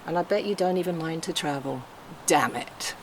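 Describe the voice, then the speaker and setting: A woman's voice: low-pitched, moderate pace, background noise, natural voice. A woman acting a blunt, self-deprecating, flirty divorced woman in a script table read, with a sardonic manner. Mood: flirty, teasing.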